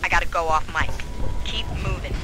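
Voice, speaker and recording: Woman acting tough marine squad leader, clipped commanding delivery, military game, loud music behind. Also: lowish voice, brisk, close to an everyday voice. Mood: brisk, steady. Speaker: squad radio guide.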